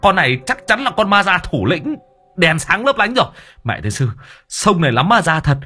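A man voicing a clumsy, timid young helper who stammers and whines in a high voice. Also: moderate pace. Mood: boastful. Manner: stammering, whiny, high and excited.

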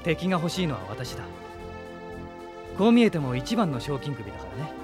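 An actor playing a sincere, resolute young noble prince who leads a rebel army in a Japanese radio drama. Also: male voice, a little high, very animated, brisk, music in the background. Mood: determined.

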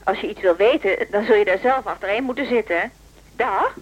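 An actress playing a brisk, scheming younger woman activist in a Dutch radio drama, chatty and insistent. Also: medium pitch, lo-fi sound, lightly acted. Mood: pointed, insistent. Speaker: actor in radio play.

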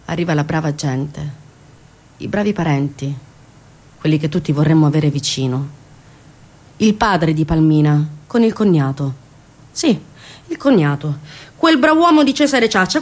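Female stage storyteller. Dramatic, impassioned, indignant delivery with big melodic swings and weighted repetition. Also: medium pitch. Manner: impassioned, emphatic, repeats phrases for weight; indignant rhetorical questions to listeners. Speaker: stage actress performing a monologue. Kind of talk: dramatic theatrical storytelling monologue.

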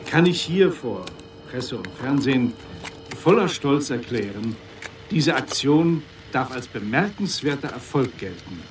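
An actor playing a proud, self-satisfied official giving a pompous, oratorical speech to the press in a radio drama. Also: male voice, mid-range voice, animated, measured pace, lightly acted. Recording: distant microphone, clear background noise.

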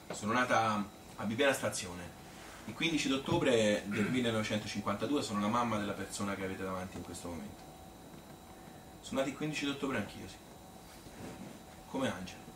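A man's voice: on the low side, brisk, pauses often, a bit bright, natural voice. A man playing a chatty, self-amused Tuscan woman who rambles through her courtship memories in a folksy theatrical monologue. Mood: fond, insistent. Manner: rambling, folksy, filler-laden. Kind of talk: theatrical monologue, colloquial storytelling. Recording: echoey room, distant mic, hissy noise.

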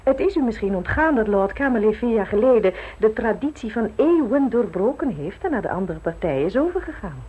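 Arch, lively society lady. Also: on the high side, fairly quick, hardly any pauses, narrow, tinny audio. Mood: arch, knowing. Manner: arch, teasing, knowing. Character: worldly, shrewd, amused married society lady. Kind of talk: old radio play acting. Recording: old radio recording with clear noise.

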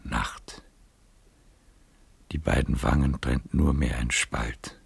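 An aged, dreamy seducer in an intimate radio play. His voice is extremely low and very husky, murmured close and dry. He lingers tenderly over his phrases, halting as he savours the story. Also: fairly even intonation, dry recording, exaggerated voice. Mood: hushed, spellbound. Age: older. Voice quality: husky, gravelly, breathy murmur. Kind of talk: intimate radio drama acting.

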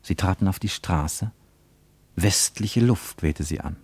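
A man reading a scripted literary narration for a radio play in a measured, deliberate way. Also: deep voice, a little mellow, slightly rough, no room sound.

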